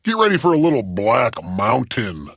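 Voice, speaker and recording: A man playing a goofy, self-important rock radio DJ in a video game, rambling in a deadpan way with ums and trailing-off thoughts. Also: mid-range voice, moderate pace, gravelly, slightly rough, no echo, studio quality, heavily acted. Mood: upbeat announcing. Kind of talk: comedic radio DJ patter.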